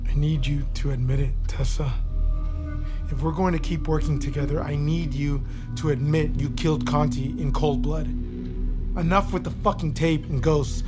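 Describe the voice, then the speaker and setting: A woman's voice: low-pitched, close to an everyday voice. A woman playing a stern, exasperated interrogator in a naturalistic audio drama.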